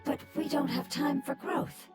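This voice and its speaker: A woman voicing a solemn, earnest, ancient nature spirit in an anime game, breathy and formal in delivery. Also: high-pitched, steady tone, unhurried. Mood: grave. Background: music.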